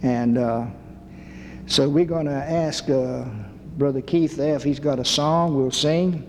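A man leading a church service, speaking slowly with a low voice and old-fashioned scriptural phrasing. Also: fairly even intonation, long reverb tail, slight background noise. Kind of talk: slow church sermon and prayer.

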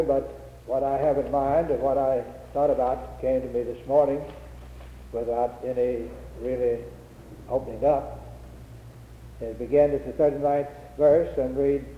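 A man preaching an unscripted sermon with a head cold, speaking in a humble, halting way with frequent restarts. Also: mid-range voice. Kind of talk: old-fashioned unscripted sermon. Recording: tinny lo-fi recording in an echoey hall.

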